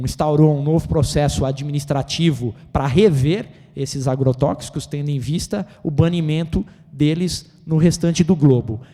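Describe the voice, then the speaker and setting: Brazilian male lecturer, Brazilian Portuguese accent, excitable, keeps checking in with the room; mellow tone. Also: on the high side. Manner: animated, gesticulating, excitable; constant "né?" tag questions. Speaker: seminar lecturer. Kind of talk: seminar lecture. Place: auditorium. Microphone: handheld microphone. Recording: reverberant auditorium.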